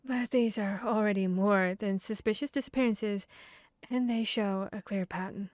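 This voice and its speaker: A woman acting out a studious fantasy vampire hunter in an ASMR roleplay. She has a medium-pitched voice and thinks aloud in an earnest, slightly hesitant, conversational way. The recording is dry, with hardly any room sound. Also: moderate pace, darkish tone, dry recording, lightly acted. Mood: earnest explaining.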